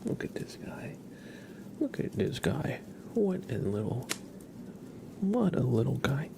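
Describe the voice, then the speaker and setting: A man hosting an ASMR livestream, chatting gently and casually in a soft, breathy voice with frequent pauses. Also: mid-range voice, big pitch swings. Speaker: livestream host.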